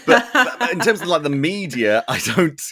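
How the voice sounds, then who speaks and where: A man's voice: a little high, big pitch swings, normal speed, a crowd in the background. A man hosting a podcast, chatting casually with self-deprecating humour and a tendency to wander off on tangents. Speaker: podcast host. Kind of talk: casual interview chat.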